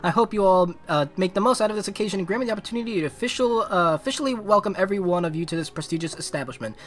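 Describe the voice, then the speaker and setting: A man's voice: high-pitched, animated. Man voicing a formal schoolgirl council president. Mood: formal, earnest. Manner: formal, measured speech-making. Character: poised, formal teenage girl, student council president.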